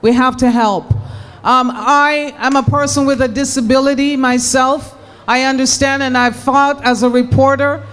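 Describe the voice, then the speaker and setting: A woman candidate answering at a public debate, sympathetic and earnest, with some halting restarts. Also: high voice, steady tone, fairly bright tone, big-room sound. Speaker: political candidate. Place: public meeting hall.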